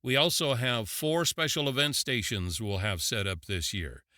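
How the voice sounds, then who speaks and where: A man presents information in a bright, forward voice at a medium pitch, recorded close and dry. He is plain-spoken and folksy, often asking a question and answering it himself with a light, joking touch. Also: normal speed, no echo. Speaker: event presenter.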